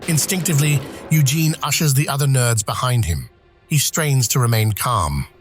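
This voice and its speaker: A man's voice: mid-range voice, lively intonation, normal speed, soft background music. A man reading scripted screenplay narration for an audio drama.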